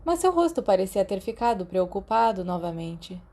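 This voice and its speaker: A woman's voice: mid-range voice, sing-song, normal speed, mellow. A woman with a Brazilian accent reading scripted fiction as an audiobook narrator.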